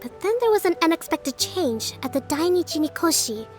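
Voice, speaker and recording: A young priestess who leads an island in a video game. The voice is high and composed, explaining things in a measured, formal and gracious manner. Faint music plays underneath. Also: female voice, very animated, darkish tone. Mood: welcoming. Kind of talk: dramatic video game acting.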